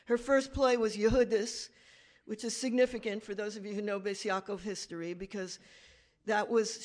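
A woman lecturer giving a conversational academic talk with slides, correcting herself and making asides to the audience. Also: medium pitch.

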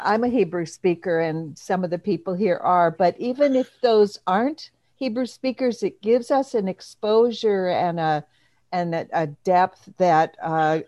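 Low-voiced woman speaking up in a class. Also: a little mellow, dry recording. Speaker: class participant. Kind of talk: audience comment.

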